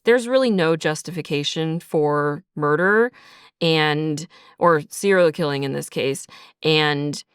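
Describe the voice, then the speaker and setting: A woman's voice: sits low, fairly bright tone, clean tone, no echo, studio quality. A woman guest speaking casually and reflectively as a writer in an interview, thinking aloud as she goes. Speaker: writer and creator of an audio drama, guest. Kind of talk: casual behind-the-scenes interview.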